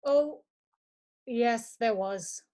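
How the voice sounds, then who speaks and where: An anxious young gentleman played by a woman in a radio mystery. The voice sits fairly high and comes in halting, urgent phrases, as a well-mannered suitor caught up in a crime. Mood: subdued, cooperative. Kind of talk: radio mystery drama acting.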